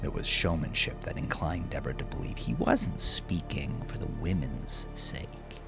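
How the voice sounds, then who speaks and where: A man narrating a dark audiobook story in a grave, suspenseful, deliberate manner. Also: extremely low pitch, gravelly. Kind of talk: dramatic scripted audiobook narration. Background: music under the voice.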